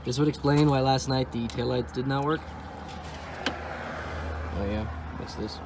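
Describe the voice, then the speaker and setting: A young man rambles through his car project in a loose vlog style, breaking off for sarcastic jokes. His voice sits at an ordinary middle pitch. Steady ambient noise hums under the recording. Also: slow, creaky. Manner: wisecracking, sarcastic asides; offhand, thinks aloud while working on a car. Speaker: car vlogger. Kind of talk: casual vlog commentary.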